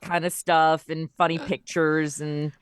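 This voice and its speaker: A woman chatting casually as a podcast guest, telling things in an animated, conversational way and voicing bits of dialogue as she goes. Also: low voice, unhurried, clear, resonant tone. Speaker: podcast guest, audio drama creator and voice performer. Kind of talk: casual interview chat.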